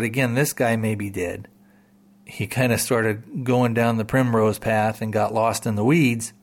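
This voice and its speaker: A man hosting a podcast, talking casually to listeners in a rambling way, full of repeats and "you know"s. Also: fairly low pitch. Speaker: podcast host.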